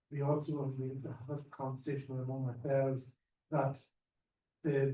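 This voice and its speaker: A man's voice: mid-range voice, dark tone. A man from Ireland asking a question from the audience at a panel, earnest and a bit rambling. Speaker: audience member. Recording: roomy hall, mic at a distance.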